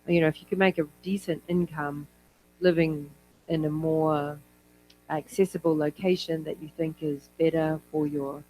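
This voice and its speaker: A man's voice: a little high, steady tone, moderate pace, darkish tone, faint music behind, rough recording. A man from New Zealand speaking as an academic in an informal conference talk, conversational and given to digressive asides. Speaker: academic speaker on health policy.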